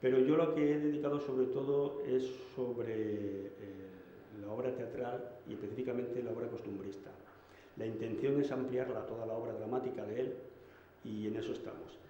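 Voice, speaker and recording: A man from Madrid giving a public lecture, measured and hesitant, with fillers. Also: medium pitch, steady tone, warm tone, slight background noise. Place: municipal hall. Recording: echoey hall, lo-fi.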